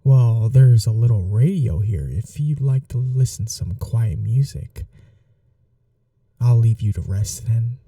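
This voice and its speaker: A man playing a courteous, caring gentleman vampire in an intimate ASMR roleplay, speaking softly in an unhurried, polite, slightly old-fashioned way. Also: medium pitch, lively intonation, no echo, clean studio sound, distant mic. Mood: soft, considerate.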